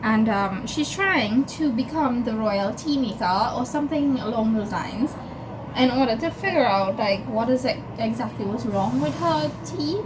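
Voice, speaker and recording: A woman's voice: a little high, animated, normal speed. A woman chatting casually and enthusiastically to camera as a book vlogger. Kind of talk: casual chat to camera about books. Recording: clearly noisy background ambience.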